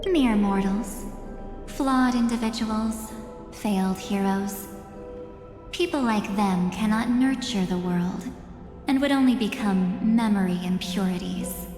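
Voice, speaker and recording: An actress playing a menacing, seductive keeper of memories in a video game, grandiose and deliberate, with breathy phrase endings. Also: female voice, medium pitch, natural voice. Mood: disdainful. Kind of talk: theatrical video game voice acting. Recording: echoey long reverb under game music.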